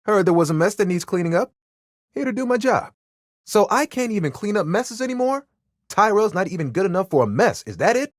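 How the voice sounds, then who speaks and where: An actor voicing a touchy, sassy, dramatic helper character in a video game, with a theatrical, easily offended delivery. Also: male voice, sits high, very animated, fairly quick, no room sound, studio quality. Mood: wounded.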